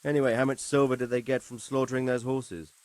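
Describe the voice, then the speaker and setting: A man acts out a medieval lord for a video game cutscene. His voice sits at a medium pitch. He speaks in formal, measured sentences, grave and commanding, with firm authority. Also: little pitch movement, a little mellow, no room sound, close to an everyday voice. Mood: measured, explanatory. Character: authoritative nobleman lord, measured, grave, stern about justice.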